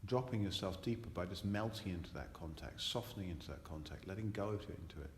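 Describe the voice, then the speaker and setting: A man leading a guided relaxation meditation as a teacher, soothing and hypnotic, repeating phrases between long pauses. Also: sits low. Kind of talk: guided relaxation meditation, spoken live to a group. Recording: echoey room with long reverb, faint noise.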